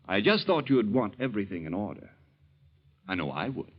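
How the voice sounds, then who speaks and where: An actor in a 1940s radio drama playing a nosy young bank teller, casually probing and falsely helpful, on a muffled old recording. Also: male voice, fairly low pitch, very animated, brisk. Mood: insinuating.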